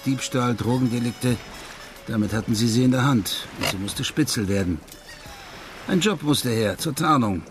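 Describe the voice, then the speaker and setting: A man's voice: mid-range voice, close to an everyday voice. A man playing an unruffled fellow who explains things casually in a radio play. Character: man casually explaining a woman's criminal past.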